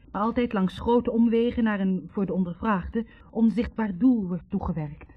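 An actress playing a wounded young woman in a 1960s radio drama, bitterly and haltingly confessing a painful past. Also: fairly low pitch, breathy edge. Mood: bitter, controlled. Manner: hesitant, halting, introspective. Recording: dull vintage radio recording.

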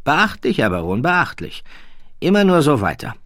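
Affected, high-pitched young prince. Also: male voice, huge pitch swings, a bit bright, no echo, clean studio sound. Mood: pleased condescension. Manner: clipped fragmentary sentences, mannered drawling pauses. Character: affected young aristocratic prince, condescending, faintly peeved. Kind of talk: audiobook character voice.

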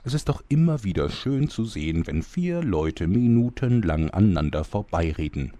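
An actor playing a wry narrator, giving dry scripted commentary in a comedic audio drama. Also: male voice, lowish voice, big pitch swings, normal speed, warm tone, dry recording. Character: wry storyteller commenting on the muddle.

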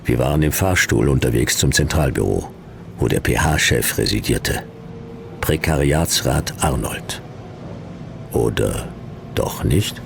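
A man playing a laconic private detective in a sci-fi radio play, narrating in clipped, deadpan with a gravelly voice. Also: very deep voice, slow, pauses often, background noise, exaggerated voice. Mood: dry, laconic. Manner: clipped, dry, deadpan delivery. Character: Jonas, laconic hardboiled male private detective narrating his case.

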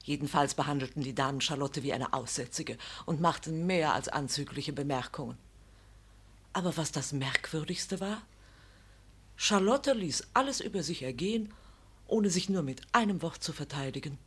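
An actress playing a shrewd, gossipy, affectionate elderly provost's wife in a radio drama, with a husky voice. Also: female voice, deep voice, animated, normal speed. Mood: suspicious, concerned. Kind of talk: German radio play acting.

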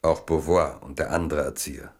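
Very deep-voiced man narrating. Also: steady tone, moderate pace. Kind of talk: scripted literary narration in a radio drama.

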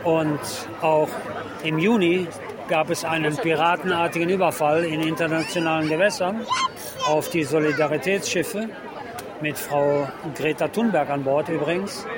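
A man giving a live radio report outdoors in a halting, descriptive way as he observes the scene. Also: on the high side, steady tone. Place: outdoors at a busy city harbour. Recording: loud crowd and outdoor urban harbour noise. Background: large crowd chanting and applauding.

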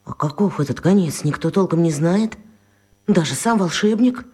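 An actress playing the indignant, emotional victim of a botched spell in a children's radio play, speaking dramatically. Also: female voice, fairly low pitch, animated, moderate pace, a little mellow, breathy edge. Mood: gloomy, brooding.

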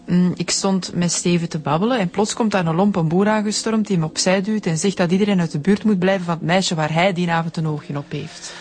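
An actress playing a frank, smitten young Flemish woman being questioned in a radio crime drama, chatty and expressive. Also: lowish voice, a little fast, few pauses, faint music behind, close to an everyday voice. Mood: animated recounting.

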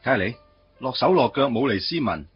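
An actor playing a wry, teasing office worker in a Cantonese radio drama, with a Hong Kong accent and a casual, grumbling delivery. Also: male voice, medium pitch, big pitch swings, brisk, dull audio, faint music behind, natural voice. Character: wry, teasing male office worker meeting his first love.

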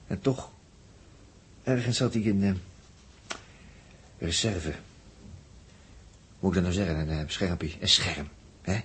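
Low-voiced, musing spaceship crewman. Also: slow pace, long pauses, a bit bright. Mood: reflective. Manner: musing, searching for words, questioning tags. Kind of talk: 1960s Dutch radio drama acting. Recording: noisy vintage 1960s radio recording.

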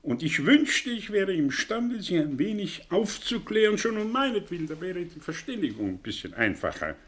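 An actor playing a proud, condescending older professor in a radio play, husky, ironic and erudite. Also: male voice, high voice, sing-song, a bit bright, exaggerated voice. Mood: agitated, earnest. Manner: ironic, erudite, halting when agitated.

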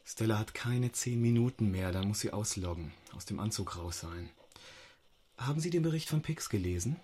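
A man's voice: lowish voice, animated, normal speed, mellow, slight background noise, natural voice. An actor playing an impatient, commanding male agent leading a mission in a sci-fi radio drama, speaking curtly. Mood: urgent, controlled. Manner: curt, commanding.

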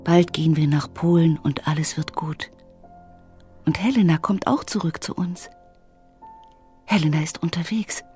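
A woman's voice: sits low, a little slow, pauses often, warm tone, faint music behind. An actress playing a hopeful, anxious mother in a German radio play, soothing and insistent, sometimes dropping to a whisper. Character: hopeful, anxious wife and mother awaiting her daughter.

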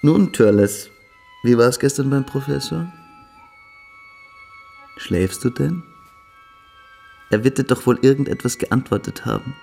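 A man's voice: mid-range voice, expressive, a little slow, vocal fry, long pauses, a little mellow, soft background music. An actor playing a scornful, dogmatic older schoolboy who lectures his friend condescendingly in a German literary radio drama. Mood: challenging.

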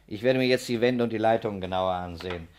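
A shrewd investigator played by a male actor in a 1960s radio crime drama. His voice is very low. He questions people politely and with understatement, always probing a little further. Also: fast, darkish tone, natural voice.

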